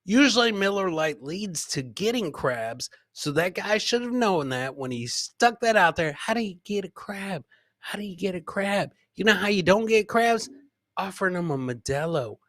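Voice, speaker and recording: Bright, high-pitched man riffing on a podcast. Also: lively intonation, normal speed, dry recording, studio quality. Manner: rambling, jokey, repeats catchphrases. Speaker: host of a live comedy podcast. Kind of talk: casual live reaction commentary on funny clips.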